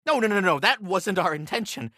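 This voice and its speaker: A man's voice: sits high, animated, brisk, pushed and bright, no room sound, studio quality, heavily acted. A man voicing a polite, erudite giant squirrel, keeper of a world tree, in a video game, with pompous, florid diction. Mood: anxious, eager. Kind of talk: scripted video game character dialogue.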